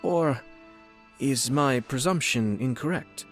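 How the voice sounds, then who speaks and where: A man voicing a poised, courteous young clan leader in a game, who speaks in a formal, gracious and measured way. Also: medium pitch, natural voice. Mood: persuasive. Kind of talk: game voice acting. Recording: studio game dialogue with faint music.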